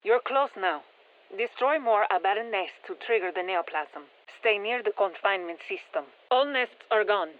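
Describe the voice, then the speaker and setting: An actress playing a brisk, focused female military scientist giving clipped mission instructions over a radio in a video game. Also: mid-range voice, lively intonation. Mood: brisk, instructive. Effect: radio filter. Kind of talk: scripted game mission briefing. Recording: tinny band-limited radio transmission.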